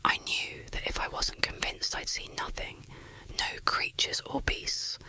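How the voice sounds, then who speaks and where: Bright whispering ASMR horror storyteller. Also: poor audio. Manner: whispering, deliberate dramatic storytelling.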